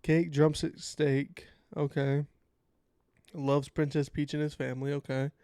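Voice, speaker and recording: A man hosting a casual reaction video, sounding a little nasal from a cold, chatting in a laid-back way and reading out facts haltingly. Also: on the high side, level tone, dark tone, clean tone, no room sound, clean studio sound.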